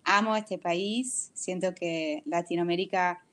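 Slow, thoughtful American woman on a video call. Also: fairly low pitch, a bit bright. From United States. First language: English. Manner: thoughtful, searching for words with many pauses. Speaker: guest. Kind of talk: reflective video call conversation.

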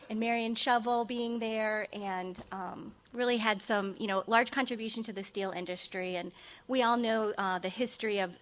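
Woman, soft-spoken, conference presenter, frequent ums, from Ohio. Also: medium pitch. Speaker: school district director presenting a conference session. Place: conference room.

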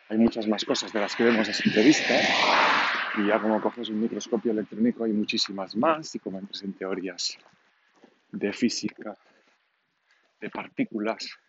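A man of 49 from Catalonia with a Catalan accent in his Spanish, hosting a solo podcast in an informal, unscripted monologue, thinking aloud as he rambles. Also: on the low side. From Catalonia, Spain. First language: Catalan, alongside Spanish. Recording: dull lo-fi home recording, clear background noise.

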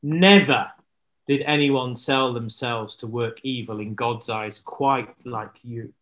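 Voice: medium pitch, slow, a bit bright, voice pushed down. A storyteller voicing a stern, solemn prophet who scolds and warns in a children's Bible story. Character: stern, grave adult male prophet.

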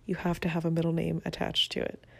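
Chatty woman from Venezuela, gravelly low voice. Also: completely flat delivery, fast, dry recording. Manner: chatty, upbeat, self-deprecating. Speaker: podcast host. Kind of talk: casual solo podcast monologue. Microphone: phone app, no proper mic.

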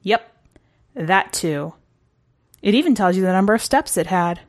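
A woman's voice: mid-range voice, lively intonation, moderate pace. A woman reading a first-person short story aloud in a conversational, wry way. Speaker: story narrator.

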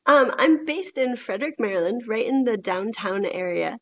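A woman's voice: a little high, expressive, moderate pace, fairly bright tone, highs cut off. A woman who teaches blacksmithing, giving friendly, somewhat hesitant answers as a podcast guest. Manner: friendly, hesitant, many ums. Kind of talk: interview answers.

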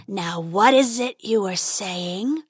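A woman voicing a huge, menacing male dragon in a storybook, with a slow, drawn-out growl. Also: mid-range voice, breathy edge, no echo, studio quality. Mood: ominous. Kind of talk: storybook character voice.

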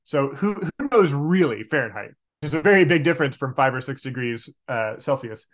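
A man's voice: medium pitch, expressive, normal speed. An American man from Arkansas chatting casually as a podcast guest, explaining things and correcting himself as he goes. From Arkansas, United States. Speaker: podcast guest, game master and outdoor educator. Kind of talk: casual interview chat.

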